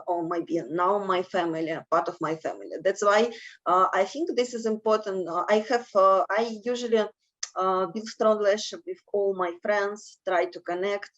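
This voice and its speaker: A woman's voice: mid-range voice, lo-fi sound. A woman from Russia, speaking hesitantly with many fillers as an interviewee on a video call. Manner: hesitant, many fillers, halting non-native phrasing. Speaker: alum interviewee. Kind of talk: panel discussion over video call.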